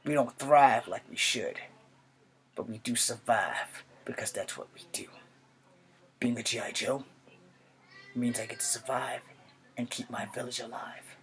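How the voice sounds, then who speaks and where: Clear background noise sits under a man's performance of a young male soldier speaking to an elder. He is solemn and proud, in a mid-range voice that is hushed and halting. The delivery is an earnest dramatic monologue. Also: medium pitch, natural voice. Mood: pleading, proud.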